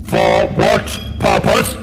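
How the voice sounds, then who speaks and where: Casting: ruthless robotic alien commander, extremely high, harsh ring-modulated bark, deliberate clipped pacing, for sci-fi audio drama. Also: male voice. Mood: imperious. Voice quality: harsh electronic staccato monotone. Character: ruthless alien war machine commander, barking orders. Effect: robotic ring-modulated voice filter. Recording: loud music bed behind voice.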